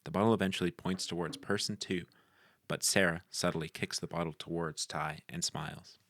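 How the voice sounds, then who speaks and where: Casting: male screenplay narrator, very low and creaky, recorded dry. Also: steady tone, moderate pace, fairly bright tone, no room sound. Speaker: narrator reading stage directions. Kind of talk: scripted narration at a film table read.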